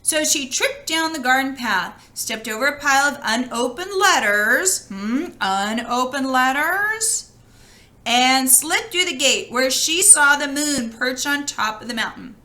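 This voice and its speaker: A woman reading a story aloud in an expressive, conversational way, as if talking with her listeners. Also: high voice. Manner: expressive, conversational, pausing to comment. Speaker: storybook reader. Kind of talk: read-aloud storytelling with chatty asides to listeners.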